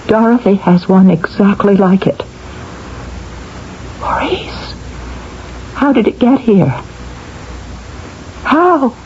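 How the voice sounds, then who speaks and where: An actress playing an effusive, excitable wife with a gushing, theatrical manner in an old-time radio drama. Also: female voice, mid-range voice, slow, long pauses. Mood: puzzled, excited. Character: effusive, excitable wife, formerly envious of her rich sister. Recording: dull, hissy old radio recording.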